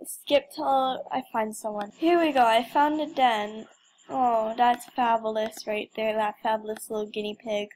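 A woman's voice: fairly high pitch, steady tone, measured pace, darkish tone, a little hiss or hum, rough recording. A young woman giving casual, playful game commentary with mock drama and exclamations. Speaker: gamer recording gameplay.

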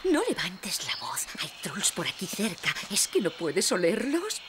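Cartoon gnome guide: composed, slightly low, unhurried, polite, music behind. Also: female voice, wildly swooping pitch, noisy background. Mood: hushed warning. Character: calm, welcoming, watchful African female gnome. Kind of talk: cartoon dub acting.

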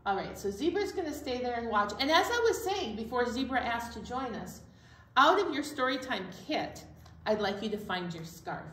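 A woman's voice: a little high, very animated, moderate pace, a bit bright. A middle-aged woman hosting a storytime for young children, explaining things simply and speaking to them directly. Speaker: children's library storytime host. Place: indoor library storytime room. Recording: roomy, distant mic, faint room noise.

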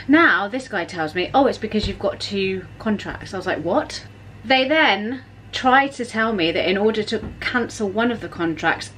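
Woman, 30s, home vlogger, chatty and exasperated, mid pitch, faint room noise behind. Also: fairly bright tone, poor audio. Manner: chatty, exasperated storytelling with swearing. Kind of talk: casual chat to camera. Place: home kitchen, indoors. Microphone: camera at arm's length.

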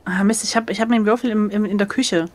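A woman's voice: mid-range voice, steady tone, fast. A woman chatting casually as the player in an adventure game, reacting in a playful, jokey, theatrical way. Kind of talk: casual chat.

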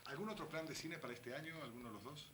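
A man's voice: fairly high pitch, fast talker, a bit bright. A middle-aged man hosting a television interview and putting questions to his guests. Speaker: interviewer, host. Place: room at home, seated at a table. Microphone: handheld microphone. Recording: noisy 1970s television archive recording.

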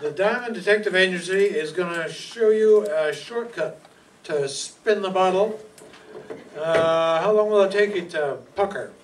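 A man's voice: sits high, unhurried. Older man as wisecracking private detective, 1940s radio play, echoey room, noisy distant recording. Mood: flirty, wisecracking. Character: wisecracking male private detective, breezy and tough. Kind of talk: 1940s radio acting, with narration. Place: meeting room stage before an audience. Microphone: stand microphone, filmed from far back.

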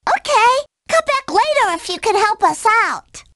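A woman's voice: very high-pitched, very animated, unhurried, sharp tone, no echo, clean studio sound, heavily acted. A woman voicing a tiny, earnest fantasy palace resident in a video game, with theatrical delivery. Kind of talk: video game character dialogue.

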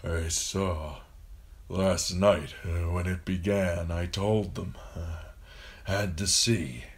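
A man voicing a frail, dying old lord and father in an audiobook, tender and pained, murmuring haltingly in a thin, wispy voice. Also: low voice, fairly even intonation. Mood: weary, wistful. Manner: slow, halting, murmuring speech.

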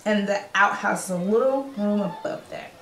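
A woman's voice: medium pitch, normal speed, lightly acted. A woman playing a young, candid, chatty refugee girl in a radio play. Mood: matter-of-fact. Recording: echoey room with faint noise.

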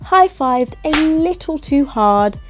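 Slow, lively woman reading a children's storybook. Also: high voice, lo-fi sound, soft background music. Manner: expressive, coaxing, asks the listener questions. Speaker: storybook reader. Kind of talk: children's picture-book read-aloud.

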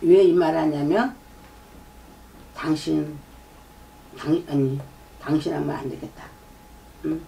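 A woman's voice: deep voice, steady tone, slow, vocal fry, long pauses, warm tone, slight background noise. A middle-aged woman, a shaman doing a fortune-telling consultation, lecturing and often prompting with "eh?". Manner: lecturing, repeats herself, prompts with "eh?". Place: shrine room with folding screen and drum. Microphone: far camera. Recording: distant mic, faint room ambience.

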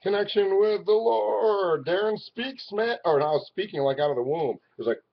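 A man in his forties talking to a webcam in his living room, rambling and swinging from loud outbursts to low mutters. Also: lively intonation, normal speed, vocal fry. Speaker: vlogger. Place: living room at home, indoors. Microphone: webcam at arm's length. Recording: dull lo-fi webcam audio.

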